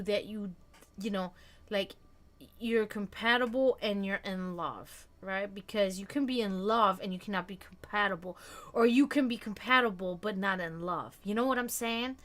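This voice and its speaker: A woman in her thirties hosting a casual live stream, talking frankly and reflectively and often letting her sentences trail off quietly. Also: mid-range voice, normal speed. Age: 30s. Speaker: stream host.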